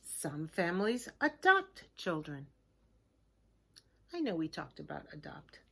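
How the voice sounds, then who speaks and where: A woman reading a children's picture book aloud like a teacher, in a deliberate, expressive way, with hushed chatty asides to her listeners. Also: deep voice, vocal fry. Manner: slow, expressive, simple deliberate phrasing.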